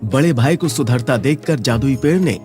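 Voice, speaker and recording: A man narrating an animated moral story for children in a steady, clear, scripted storytelling manner. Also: mid-range voice, normal speed, over music.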